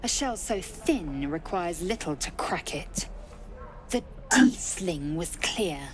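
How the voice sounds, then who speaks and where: Slow, haughty warrior woman. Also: on the low side, lively intonation, soft background music. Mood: commanding. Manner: clipped, imperious, disdainful. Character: haughty, stern female alien warrior. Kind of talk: video game voice acting. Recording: noisy game ambience.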